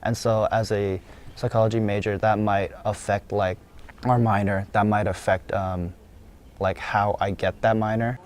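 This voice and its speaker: A male psychology student answering interview questions, hesitant and pausing with fillers. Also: on the low side, little pitch movement.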